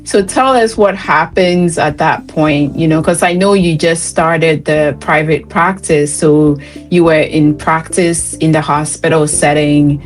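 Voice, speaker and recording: Slow, low-voiced woman host from Ghana. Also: steady tone, faint music behind. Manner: encouraging, chatty, laughs lightly. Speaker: interview host. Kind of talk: conversational interview.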